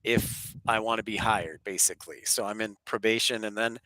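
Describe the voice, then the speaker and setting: A male livestream host with a bright, forward voice, slightly stuffed up from a head cold. He talks casually and chattily, greeting viewers between bits of live commentary. The sound is close and dry. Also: lowish voice, moderate pace, no room sound, rough recording. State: congested with a head cold, sore throat. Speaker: livestream host, aviation spotter. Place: live at an airport.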